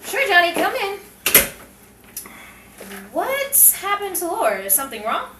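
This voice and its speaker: A woman's voice: very high-pitched, huge pitch swings, unhurried, exaggerated voice. A woman playing a restless, gullible younger sister eager for a way out, in a dramatic 1950s crime melodrama style. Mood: bright, curious. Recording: echoey, distant, clearly noisy room recording.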